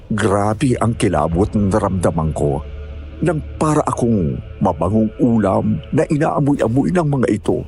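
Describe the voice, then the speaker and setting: A man's voice: on the low side, darkish tone. A man narrating a scripted first-person horror story.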